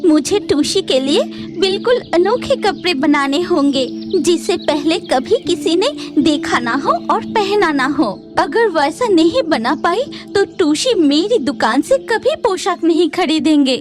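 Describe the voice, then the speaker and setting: A woman's voice: extremely high pitch, lively intonation, unhurried, over music. A woman voicing a humble, anxious tailor bird who is eager to please, in a children's cartoon. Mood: worried. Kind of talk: Hindi children's cartoon dialogue.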